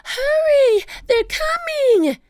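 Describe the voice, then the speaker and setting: A woman voicing a bold, protective young girl with an urgent, shouting manner in a storybook reading. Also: very high voice, lively intonation, extremely slow, dry recording, studio quality, pitched above the performer's own voice, exaggerated voice. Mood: urgent, alarmed.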